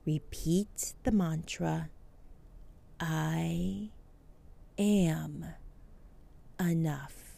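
A woman leading a guided meditation as host, speaking soothingly, with phrases that often trail into a breathy near-whisper. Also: sits low, steady tone, drawn-out words, pauses often, warm tone.

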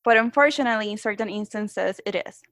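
A woman's voice: medium pitch, fast, fairly bright tone, dry recording, clean studio sound. A woman from Puerto Rico with a Spanish accent, asking interview questions earnestly and sometimes searching for words. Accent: Puerto Rican Spanish.

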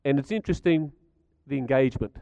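Croaky man giving a talk. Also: medium pitch, quick pace, warm tone, highs cut off. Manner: chatty, stats-driven, asks the audience questions. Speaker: speaker at a community gathering. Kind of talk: talk to a live audience.

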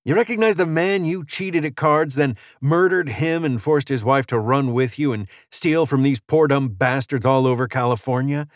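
A vengeful gunslinger risen from the dead as a man narrator plays him. He rasps in a folksy Old West drawl with cold resolve. The sound is dry and intimate, fit for a western audiobook. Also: mid-range voice, normal speed, hardly any pauses, no echo, pitched above the performer's own voice, lightly acted. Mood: grim, accusing. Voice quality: raspy.

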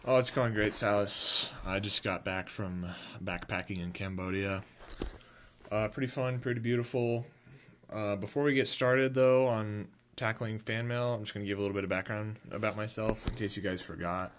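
A man co-hosting a comic podcast, telling tall tales deadpan with hesitant fillers. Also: fairly low pitch, moderate pace, a little hiss or hum. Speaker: podcast co-host.

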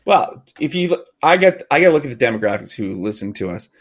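Rambling Canadian-raised podcast co-host. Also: male voice, mid-range voice, big pitch swings, fast talker. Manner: rambling, self-correcting, laughs easily. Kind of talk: casual podcast chat.

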